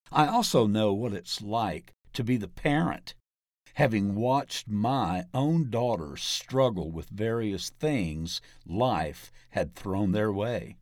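Slow, expressive low-voiced man reading aloud. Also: no room sound, clean studio sound. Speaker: reader of articles. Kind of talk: scripted narration, reading an interview aloud.

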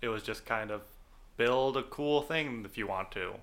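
A man's voice: medium pitch, moderate pace, a bit bright. A young man co-hosting a casual podcast, laid-back and explaining things plainly, often answering with short agreement. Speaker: podcast co-host. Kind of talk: casual chat.

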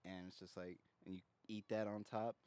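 Low-voiced, laid-back man chatting. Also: flat delivery, normal speed, a little mellow, dry recording. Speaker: podcast co-host. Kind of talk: casual comedic banter.

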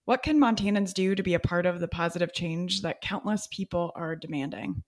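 Female interviewer, slightly low voice, reads prepared questions with encouragement. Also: fairly quick, a little mellow.

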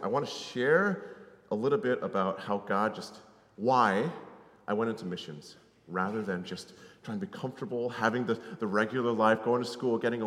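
A man's voice: on the low side, a bit bright. Man, thirties, American accent, conversational preacher, frequent tag questions, long hall reverb. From Connecticut, United States. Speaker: missionary preacher, guest speaker. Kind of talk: conversational sermon to a congregation. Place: large hall, speaking to an audience.

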